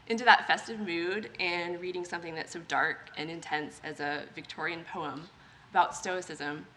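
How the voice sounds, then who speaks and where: A woman's voice: fairly low pitch, fairly even intonation, normal speed, sharp tone, rough recording. A middle-aged woman giving a prepared speech at a memorial gathering, composed and articulate. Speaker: family member giving a memorial reading. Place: memorial gathering at a retirement community. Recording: live speech with clear background noise.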